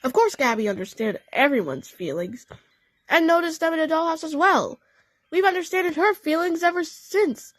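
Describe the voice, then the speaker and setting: A woman's voice: very high-pitched, lively intonation, normal speed, a bit bright, dry recording. A woman narrating a story dramatically in the first person, as in an audio play. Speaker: narrator and voice actor.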